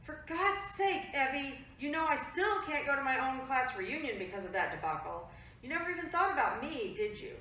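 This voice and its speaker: A woman plays a middle-aged mother worn thin by her grown daughter, quick to scold. Her voice is high and bright. It comes from well back in a very echoey room. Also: animated, slight background noise. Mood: exasperated. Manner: reproachful, clipped, scolding. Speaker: actor in a staged reading. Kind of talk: virtual staged reading. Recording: echoey room, mic far off.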